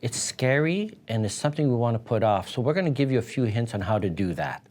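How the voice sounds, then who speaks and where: A man hosting an instructional business advice video as an accountant, speaking in an emphatic, deliberate way that stresses key words. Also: medium pitch, lively intonation, darkish tone. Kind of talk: instructional advice talk to camera.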